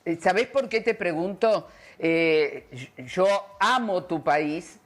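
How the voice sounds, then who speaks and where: A woman's voice: lowish voice, animated, slow pace. A woman with an Argentine accent interviewing conversationally, wordy and personal, often feeling her way to the question. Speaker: panel interviewer.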